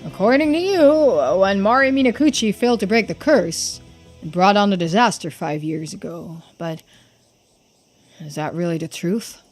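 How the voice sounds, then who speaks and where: A woman voicing a brash, suspicious, defensive islander in a video game, blunt and challenging with rough colloquial speech. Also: medium pitch, normal speed, faint music behind, close to an everyday voice. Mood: accusing.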